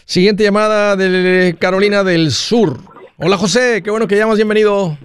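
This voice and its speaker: A man's voice: sits high, normal speed, clear, resonant tone, no room sound, studio quality. A man hosting a call-in advice show, talking in a folksy, conversational way. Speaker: host of a personal finance call-in show.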